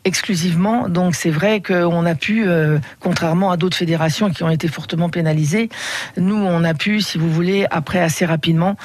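A middle-aged woman from the Paris region answering radio interview questions in a measured way, with frequent hesitations. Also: on the low side, fairly even intonation, darkish tone, slight background noise. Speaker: head of a regional riding committee, radio guest.

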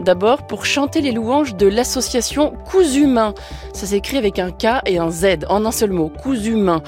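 A woman's voice: a little high, darkish tone. French woman presenting radio, faint music behind. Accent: French from France. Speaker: radio show host. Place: radio studio.

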